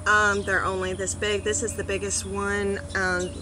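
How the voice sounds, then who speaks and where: A woman in her thirties giving a casual gardening vlog outdoors on a front porch, chatty, with many ums and animated asides. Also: mid-range voice, measured pace. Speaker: gardening vlogger. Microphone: phone or camera on a small tripod.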